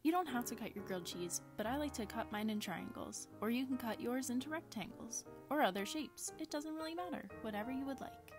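A woman's voice: a little high, lively intonation, fairly quick, hardly any pauses. Young woman giving instructions over music. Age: 20s. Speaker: cooking show presenter and instructor. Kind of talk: step-by-step instructional narration for children.

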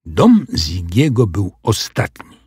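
A man reads a children's storybook in a very husky voice. His narration is slow-paced, with lively rises and falls in pitch. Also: dry recording, clean studio sound.

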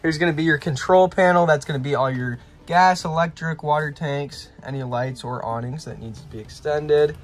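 A young man giving a relaxed, plainspoken walkaround tour of a small camping trailer, filmed on a handheld phone outdoors and then inside. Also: a little high, normal speed, few pauses. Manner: relaxed, plainspoken, pointing things out as he goes.

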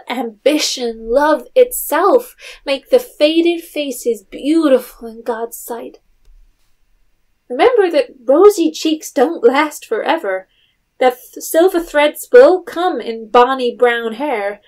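A tender storyteller, a woman with a high voice. She narrates a literary audio drama in a reflective, measured manner. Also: a little mellow.

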